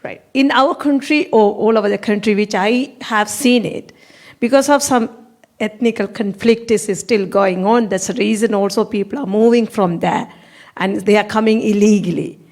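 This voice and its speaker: A woman's voice: mid-range voice, normal speed, a little mellow. A woman from Sri Lanka, a Tamil speaker, earnestly giving an audience comment at a panel Q&A and searching for words. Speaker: audience member.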